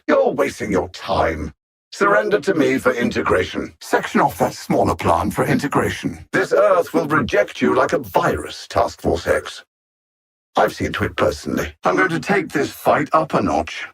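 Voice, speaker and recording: An actor playing an arrogant alien supervillain in a video game, coldly menacing and theatrical, with haughty, condescending, precisely worded speech. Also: male voice, medium pitch, wildly swooping pitch, moderate pace, vocal fry, fairly bright tone, slightly rough, exaggerated voice. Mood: cold menace, then gloating threat. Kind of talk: scripted video game villain lines.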